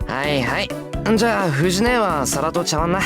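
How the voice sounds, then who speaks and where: A blunt teenage boy from a visual novel, voiced by an actor, grumbling drily in an exasperated way. He rattles his words out very fast over clearly audible music. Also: medium pitch, animated, natural voice. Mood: casual. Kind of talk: Japanese visual novel voice acting.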